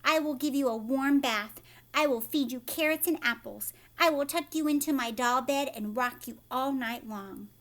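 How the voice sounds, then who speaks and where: Little girl, as a woman narrator plays her, doting, dramatic, tender, high-pitched, storybook delivery. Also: normal speed, fairly bright tone. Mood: tender, sorry. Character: doting, dramatic little girl who owns a pet pig.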